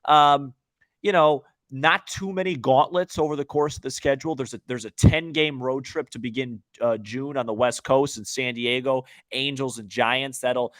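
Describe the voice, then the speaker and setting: A man's voice: mid-range voice, dry recording. Casual man talking sports, close and dry. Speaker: sports podcast co-host.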